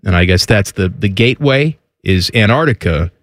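A man's voice: lowish voice, lively intonation, moderate pace, dry recording, studio quality. A man hosting a casual sports talk show, mocking and sarcastic in tone.

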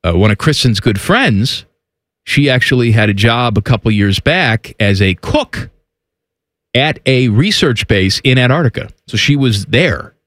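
A man's voice: mid-range voice, animated, measured pace, fairly bright tone, no room sound, clean studio sound. A man hosting a casual sports talk show, mocking and sarcastic in tone.

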